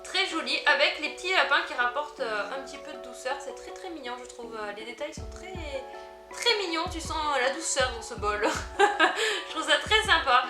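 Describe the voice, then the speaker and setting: A woman chatting through an unboxing vlog, easily delighted and quick to giggle. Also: sits high, animated, roomy sound, music in the background. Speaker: unboxing vlogger. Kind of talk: casual product unboxing chat.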